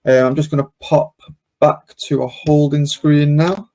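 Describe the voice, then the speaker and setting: A man presenting a live software demo and question session, explaining step by step in an informal, hesitant way. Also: fairly high pitch, fairly even intonation, unhurried. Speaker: webinar presenter and software demonstrator.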